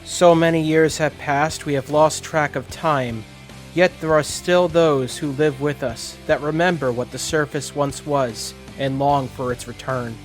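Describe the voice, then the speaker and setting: A man's voice: fairly high pitch, fairly even intonation, measured pace, soft background music, lightly acted. A man playing a solemn rebel chronicler who longs for the surface, in grave, declamatory fantasy prologue narration. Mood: solemn, wistful.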